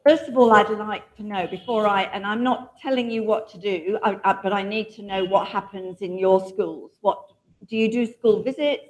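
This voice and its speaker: A woman gives a talk in a lecture hall, her voice pitched at a middle level. She speaks in a chatty way and keeps turning to the audience with questions. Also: normal speed. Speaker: diabetes educator presenting.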